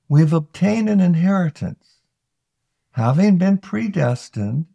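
Slow, gravelly man reading scripture. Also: on the high side, dark tone, no room sound. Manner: measured, deliberate phrasing with frequent pauses. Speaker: scripture reader. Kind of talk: solemn read-aloud of scripture.

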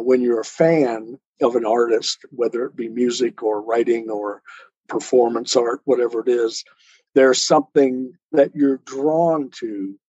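A man's voice: mid-range voice, dark tone, husky, no room sound. A man answering as an interview guest in a conversational, earnest and explanatory way, on a lo-fi recording. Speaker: interview guest, poet and author. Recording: dull lo-fi sound.

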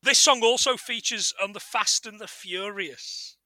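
A man's voice: high-pitched, unhurried, sharp tone, dry recording. A man co-hosting a film podcast, recapping casually in a jokey, sardonic and sweary way.

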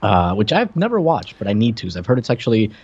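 A man's voice: lowish voice, big pitch swings, fast talker, a little mellow, breathy edge. A man from Puerto Rico co-hosting a casual film and TV podcast in a teasing, joking way. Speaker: podcast co-host. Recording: slightly dull, lo-fi audio.

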